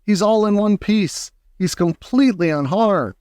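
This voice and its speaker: A man plays an eccentric storybook factory owner, excitable and exclamatory. The voice sits fairly high and is recorded dry. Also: a little slow, clear, resonant tone, no room sound. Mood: excited. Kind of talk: children's storybook character voice.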